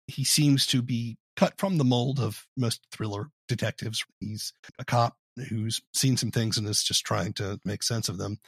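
Husky man hosting an interview. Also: fairly low pitch, fairly even intonation, measured pace, few pauses, no room sound. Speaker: podcast interviewer. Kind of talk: conversational interview and commentary.

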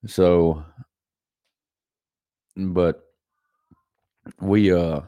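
Stuffy-nosed man with a very low voice. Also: steady tone, very slow, dark tone, no echo, muffled recording. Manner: rambling, repeats himself, self-deprecating laughs. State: stuffy nose from allergies. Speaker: podcast host. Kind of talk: casual solo podcast monologue.

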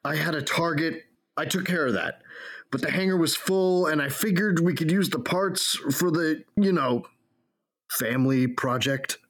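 A man acting as an impulsive young smuggler in a sci-fi audio drama, excitable, defensive and casual, with a husky voice. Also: a little high, moderate pace.

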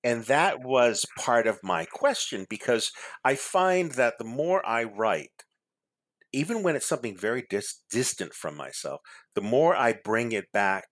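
A middle-aged man from Massachusetts hosts a relaxed interview in a dry, close room, his pitch sitting in an ordinary middle range. He talks reflectively, laughs easily and circles back over his own phrases. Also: unhurried, no echo. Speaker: host, memoir author. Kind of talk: conversational interview.

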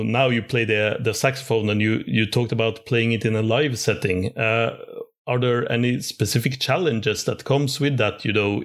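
A man interviewing casually, circling his way into long, wordy, enthusiastic questions. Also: on the low side.

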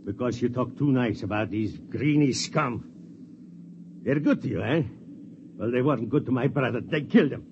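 Man doing a big vengeful brute in a vintage radio play, gruff and threatening. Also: medium pitch, normal speed, close to an everyday voice. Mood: angry. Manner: gruff, menacing. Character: huge grieving farmer, hot-tempered and vengeful. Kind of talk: 1950s radio drama acting. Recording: dull old radio recording with hiss.

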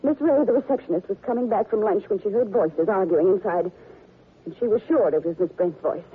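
A woman's voice: mid-range voice, a little fast, husky. A woman playing a distraught, accusing widow in a 1940s radio melodrama. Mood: accusing, tense. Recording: muffled narrow old radio recording.

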